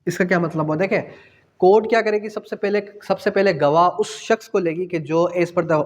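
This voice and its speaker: A man's voice: high-pitched, moderate pace. A Pakistani man giving an exam-prep law lecture, didactic, repeating phrases and posing questions that he answers himself. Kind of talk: exam-prep lecture mixing in English legal terms. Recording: long echoing reverb of a hall.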